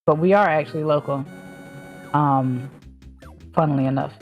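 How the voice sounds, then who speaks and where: A woman's voice: deep voice, steady tone, moderate pace, darkish tone, soft background music. A woman with a mild Southern US accent, chatting casually on a stream and rambling as she thinks aloud with lots of fillers. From Southern United States.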